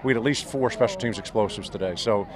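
A middle-aged man, a head football coach, giving a postgame interview outdoors on the field, talking fast and restarting his sentences often. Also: lowish voice, crowd noise. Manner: fast, rambling, many restarts. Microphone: handheld mic held by interviewer.

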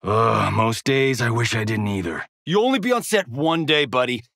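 A man's voice: mid-range voice, very animated, moderate pace, gravelly, fairly bright tone, dry recording, clean studio sound. An actor playing a cocky, wisecracking male Hollywood action star in a fighting video game, with a smug, flippant, showboating delivery. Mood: boastful.

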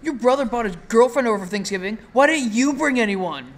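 A woman's voice: fairly high pitch, animated, a little fast, close to an everyday voice. A woman playing a casual, teasing young anime character in an amateur comic dub. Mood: nagging.

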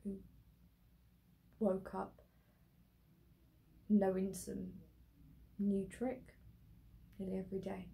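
A woman acting a stage monologue as a despairing grown daughter explaining herself to her mother in halting, hesitant phrases. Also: medium pitch, monotone, vocal fry, dark tone, rough recording. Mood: wistful, tender.

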